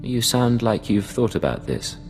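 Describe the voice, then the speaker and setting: An actor playing a cool, guarded imperial intelligence agent in a video game, speaking in a terse, composed way. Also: male voice, mid-range voice, very animated, unhurried, big-room sound, over music. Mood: dry, composed. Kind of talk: video game dialogue acting.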